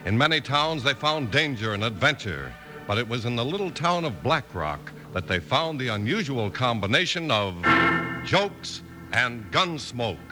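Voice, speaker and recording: A man's voice: mid-range voice, big pitch swings, moderate pace, over loud music. A man announcing and narrating a 1950s radio western in an emphatic, scripted showman style.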